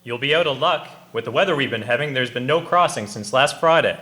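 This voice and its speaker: A man's voice: mid-range voice, a little fast, pushed and bright, natural voice. A man playing a cautious, firm and matter-of-fact ice boat captain in a historical radio drama. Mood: resigned, blunt. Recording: echoey, noisy outdoor ambience.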